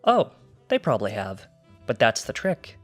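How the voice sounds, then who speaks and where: A man's voice: medium pitch, huge pitch swings, normal speed. A man playing a sly, boastful pony thief over music. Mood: teasing. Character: cocky smug pony thief recounting his heist. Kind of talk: fan fiction character dialogue.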